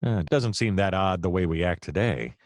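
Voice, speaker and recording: Actor playing a low-voiced, wry noir private eye. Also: male voice, a little fast, dry recording, studio quality. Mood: exasperated, sardonic. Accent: American. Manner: wry, deadpan narration, hesitant and flustered in dialogue. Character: hapless, wry male private detective narrating his case. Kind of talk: noir detective narration and dialogue in an audio play.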